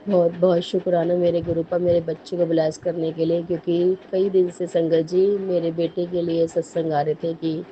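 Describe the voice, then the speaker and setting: A middle-aged woman with a hoarse voice from a cold, earnestly recounting a devotional testimony in a halting way, often repeating her thanks and apologies. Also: on the low side, level tone, warm tone, slight background noise, rough recording.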